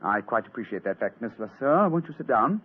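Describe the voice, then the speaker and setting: An actor playing a shrewd, courteous British gentleman detective in a polished, theatrical old radio drama style. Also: mid-range voice, very animated, a little fast, slightly rough. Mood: grave, gentle. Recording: muffled, lo-fi vintage radio sound.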